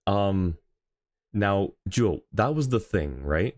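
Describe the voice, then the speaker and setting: A man's voice: sits low, lively intonation, slow pace, a little mellow, no room sound, clean studio sound. A man livestreaming a game in a casual, chatty way, thinking aloud and talking to his chat.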